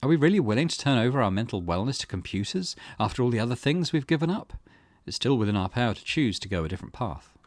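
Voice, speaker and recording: A man's voice: medium pitch, big pitch swings, quick pace, no echo. A man hosting a let's play, reading game text aloud and commenting on it in a thoughtful, musing way.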